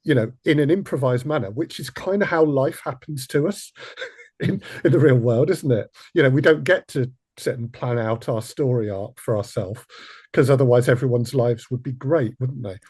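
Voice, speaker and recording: Guy hosting a podcast, bit raspy, thinking out loud, very dry close recording. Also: mid-range voice, moderate pace, no room sound. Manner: reflective, adds tag questions. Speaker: podcast host. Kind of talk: conversational discussion.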